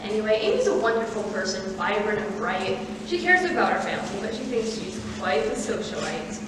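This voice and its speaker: Teenage actress as talkative, fiery young woman, very animated pitch, stage play, distant reverberant recording. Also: fairly quick, noisy background, close to an everyday voice. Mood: animated, fond. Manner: chatty, animated. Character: spirited, talkative young woman writer with a temper. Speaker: student stage actor. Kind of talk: high school stage drama. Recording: echoey theater, distant mic.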